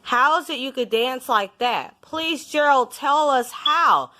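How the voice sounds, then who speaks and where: Woman as storybook jungle animals, excitable, exclaiming, raised pitch, unhurried. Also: heavily acted. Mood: amazed.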